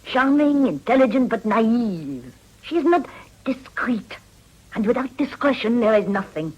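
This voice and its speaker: An actress playing a worldly, coolly pragmatic woman, deliberate and sophisticated in manner. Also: a little high, big pitch swings, a little mellow, breathy edge, muffled recording, full character voice. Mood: knowing. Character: worldly, pragmatic French-speaking mistress, coolly discreet woman.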